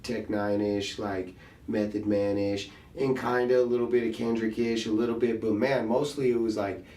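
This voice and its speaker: A man hosting a casual conversation about music, talking in a relaxed, slangy way and thinking his ideas through out loud. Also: lowish voice, moderate pace. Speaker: podcast host and rapper. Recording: roomy sound, mic set at a distance.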